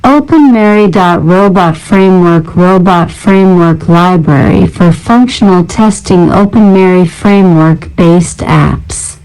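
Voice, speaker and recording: Slow, smooth-voiced woman narrator. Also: fairly low pitch, a little mellow, low-quality recording. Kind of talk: scripted narration, reading out a software library list.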